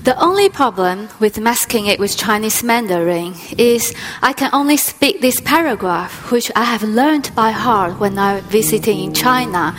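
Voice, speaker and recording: A woman speaking as an onstage translator, giving a rehearsed talk in a measured way. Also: mid-range voice, expressive, fairly bright tone, faint music behind. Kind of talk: scripted stage talk.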